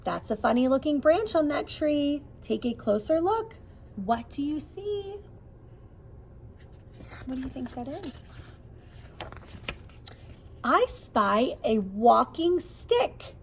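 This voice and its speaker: A woman reading a nature book aloud as a preschool teacher, expressively, pausing to put questions to small children. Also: a little high, vocal fry, slight background noise.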